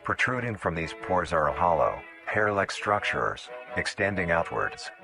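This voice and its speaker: A man with a very low voice narrates a scripted horror nature documentary. His delivery is measured and instructional, and music plays clearly behind him. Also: sing-song. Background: background music.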